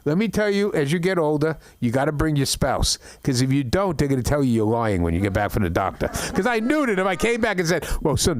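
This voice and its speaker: A middle-aged man doing an open mic stand-up set, rambling through stories with stammered restarts and deadpan complaints. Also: on the high side. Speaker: stand-up comedian. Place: comedy club open mic.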